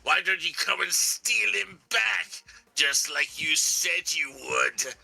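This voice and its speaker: A man's voice: fairly high pitch, expressive, slow, gravelly, pushed and bright, faint music behind, exaggerated voice. A man voicing a smug, teasing kidnapper who drawls mockingly at pursuers in a video game read-through. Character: taunting, smug kidnapper toying with pursuers on phone. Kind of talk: live video game voice-over.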